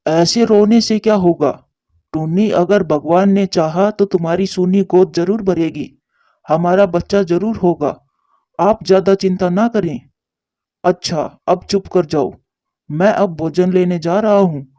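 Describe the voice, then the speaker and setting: Mellow, caring cartoon husband pigeon played by a man. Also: sits high, unhurried, no echo, highs cut off. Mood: consoling. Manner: consoling, earnest. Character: troubled, devoted husband pigeon, patient and caring. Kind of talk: Hindi cartoon story dialogue.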